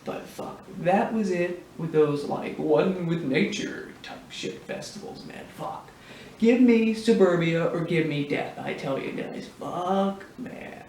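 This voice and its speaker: Man acting crude rambling guy, high voice, sweary, incredulous, casual comic anecdote, echoey room. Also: expressive, slow, a little mellow. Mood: amused, exasperated. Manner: rambling, sweary, incredulous, full of filler words. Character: crude, slacker-ish guy spinning a wild camping yarn. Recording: echoey room, distant mic, faint hiss.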